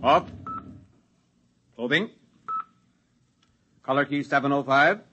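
A man playing a brisk, self-important scientist-executive in a 1950s radio drama, speaking in clipped commands. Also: medium pitch, highs cut off, noisy background, lightly acted. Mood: curt. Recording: 1950s radio broadcast recording.

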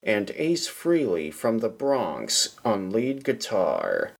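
A man's voice: fairly low pitch, huge pitch swings, slow, creaky, over music. A man hosting a podcast, asking polite questions and reading scripted narration. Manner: polite, addresses guest as sir. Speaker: podcast host and interviewer.

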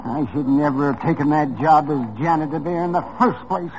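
An actor playing a frightened janitor who is a witness in a 1950s radio drama, worried and pleading. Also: male voice, a little high, brisk, noisy background, close to an everyday voice. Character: nervous male janitor witness, fretful family man. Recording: dull lo-fi old radio broadcast.

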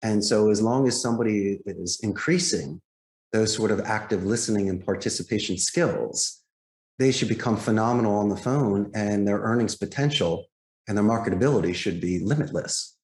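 Lowish voice guy being interviewed, explaining stuff in polished sales-trainer talk, pretty echoey room. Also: normal speed, darkish tone. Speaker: podcast guest, call center owner and trainer. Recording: roomy, echoing sound.

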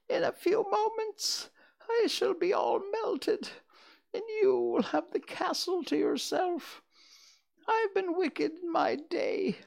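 A storyteller voicing a wicked old witch in a storybook reading, with a shrill, wailing voice and theatrical, drawn-out delivery. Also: gravelly, husky, full character voice. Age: older. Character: wicked old witch, shrieking, gloating, wailing as she melts.

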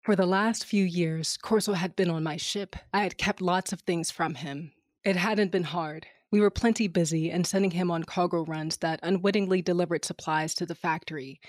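A woman's voice: lowish voice, no echo, clean studio sound, close to an everyday voice. A woman playing a brash, cynical smuggler captain, narrating an audio drama in a wry, flippant first person.

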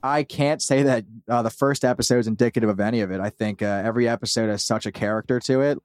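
Man, casual interviewee, medium pitch, informal, rambling, dry close sound. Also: fast talker, a little mellow, smooth, no room sound. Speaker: podcast creator being interviewed.

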